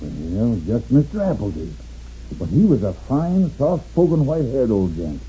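An actor playing an anxious, trusting old rancher father in a halting, homespun way for a 1950s radio western. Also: mid-range voice, huge pitch swings, warm tone, slightly rough, muffled recording, exaggerated voice. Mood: confused, halting.

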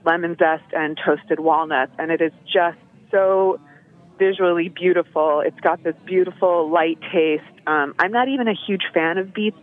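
Phone-in guest: young woman, bubbly, lots of fillers, thin phone-line sound. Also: lowish voice. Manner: bubbly, laughing often, many fillers. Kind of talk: casual phone interview.

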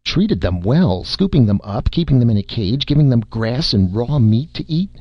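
A man reading aloud, voicing a stern industrialist who speaks in a brisk, commanding way, in a slightly muffled dry recording. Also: medium pitch, big pitch swings, no echo, pitched above the performer's own voice. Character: stern, flustered male industrialist.

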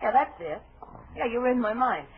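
An actress in a 1940s radio drama playing a chatty, wary young vaudeville dancer, with an American accent and a halting, slangy way of talking. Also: female voice, a little high, very animated, breathy edge, heavily acted. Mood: chatty, nervous. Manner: halting, breezy, slangy show-business talk. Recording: muffled, noisy 1940s radio recording.

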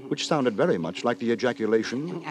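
An actor playing a prim, moralising gentleman who narrates in wordy, formal, mock-solemn 1950s radio drama style. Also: mid-range voice, sing-song, fairly quick, noisy background, lightly acted. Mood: wry, measured. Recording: 1950s radio, music behind.

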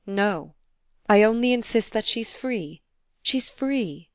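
Woman playing a coaxing, genteel gentleman. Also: medium pitch, animated, takes it slowly, no echo, clean studio sound, pitched above the performer's own voice. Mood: quiet, firm. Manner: gentle, coaxing, repeats himself. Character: genteel easygoing man, kindly, coaxing peacemaker.

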